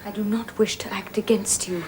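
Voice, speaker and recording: An actress playing a quiet, principled young governess in a period drama, soft and restrained but quietly firm. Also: female voice, fairly high pitch, measured pace, noisy background, close to an everyday voice. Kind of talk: period film acting.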